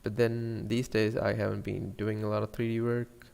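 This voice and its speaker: A man with a Sri Lankan accent giving a casual software tutorial, thinking aloud in a hesitant murmur as he works. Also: on the low side, level tone, moderate pace, creaky, big-room sound. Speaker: 3D animation tutorial streamer.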